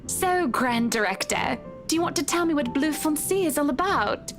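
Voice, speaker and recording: A high-voiced young lady of means speaks politely with a curious, gently teasing manner. It is visual novel game acting, with music playing behind her. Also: animated, moderate pace.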